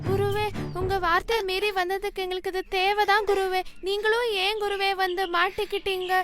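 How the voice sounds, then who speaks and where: A little pupil bird, devoted and extremely high-voiced. It speaks in children's cartoon style with music clearly behind. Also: female voice, level tone, a little fast. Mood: tearful regret. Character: young pupil bird, tearful and devoted to its teacher. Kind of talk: children's cartoon story acting.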